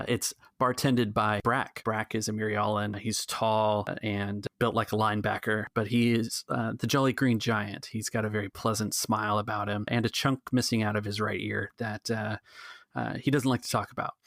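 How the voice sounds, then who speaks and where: Male game master for tabletop storytelling, creaky delivery in a dry, close recording. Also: lowish voice, flat delivery, moderate pace, a bit bright, no echo.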